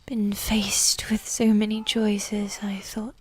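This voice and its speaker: A woman playing a regal, seductive, coolly manipulative evil queen in a medieval ASMR roleplay, speaking in a soft, breathy whisper with formal, measured phrasing. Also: medium pitch, level tone, slight background noise, natural voice. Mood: tense, tender. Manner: slow, measured, formal, with long pauses.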